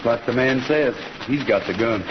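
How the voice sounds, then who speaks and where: A man's voice: medium pitch, muffled recording, natural voice. Laconic cowboy hero, male actor, noisy old recording. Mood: polite, reserved. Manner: terse, unhurried, folksy. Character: laconic, steady Western cowboy rancher hero. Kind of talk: old-time radio Western acting.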